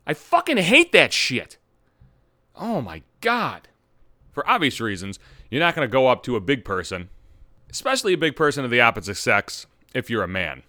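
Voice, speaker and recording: A man's voice: a little high, no echo, clean studio sound. Ranting, foul-mouthed male podcast host. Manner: loud, ranting, heavy swearing. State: just over a cold. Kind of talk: profane comedic rant.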